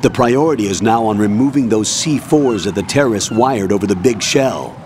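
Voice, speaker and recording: A man's voice: on the low side, moderate pace, noisy background, close to an everyday voice. An actor playing a stern male colonel giving curt orders over the radio in a video game cutscene. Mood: commanding. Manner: curt, commanding, briefing orders. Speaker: commanding officer on radio.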